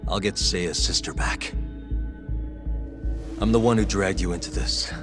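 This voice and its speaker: A man's voice: low voice, measured pace, over music. A man acting a tough, resolute investigator in a video game drama, speaking bluntly and with self-assurance. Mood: determined, urgent. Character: tough, resolute male investigator, cool and protective.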